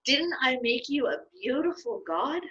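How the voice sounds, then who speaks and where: A woman's voice: sits high, big pitch swings, measured pace, sharp tone, dull audio, a little hiss or hum, voice pushed up, full character voice. A woman voicing Aaron as a boastful, then guilty, man in a children's Bible story. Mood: proud. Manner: proud, then sheepish.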